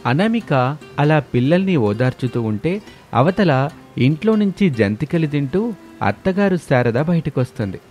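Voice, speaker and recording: A man's voice: fairly high pitch, sing-song, a little slow, darkish tone, soft background music. A man narrating a scripted story.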